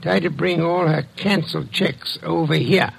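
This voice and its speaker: An actor playing an imperious, sardonic detective in an old radio drama, speaking formally with droll condescension. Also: male voice, a little high, moderate pace, gravelly, breathy edge, exaggerated voice. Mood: smug, leisurely. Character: imperious, sardonic, portly middle-aged male private detective. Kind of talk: 1940s-1950s radio detective acting.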